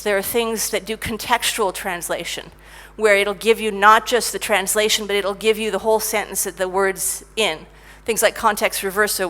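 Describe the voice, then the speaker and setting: A middle-aged woman giving a conference talk about technical writing, lively and self-mocking as she tells stories. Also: medium pitch. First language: English. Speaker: conference speaker, technical writer.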